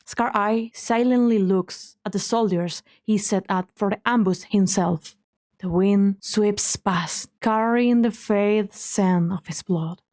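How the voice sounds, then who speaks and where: A woman reading scripted dramatic story narration in a measured, solemn manner. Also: mid-range voice, a little mellow. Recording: background music under the voice.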